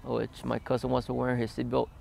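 A man in his twenties, interviewed outdoors in a desert yard, speaking plainly with many hesitant pauses. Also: mid-range voice, completely flat delivery, creaky, darkish tone. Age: 20s. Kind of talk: documentary interview, recounting his past.

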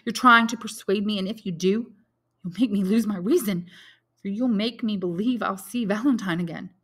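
A woman's voice: medium pitch, moderate pace, a bit bright, voice pushed up, lightly acted. A woman reading aloud and voicing a lovesick young male soldier in despair, speaking with raised, impassioned pleading. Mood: anguished.

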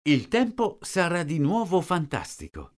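A man slowly reading out short sentences, each one twice, for a language lesson. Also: fairly high pitch, wildly swooping pitch. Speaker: language teacher. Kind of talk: slow repeated phrase reading.

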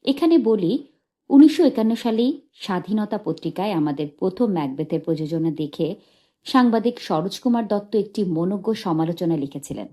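Soft, mellow-voiced woman narrating a memoir. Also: medium pitch, lively intonation, normal speed. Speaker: audiobook narrator.

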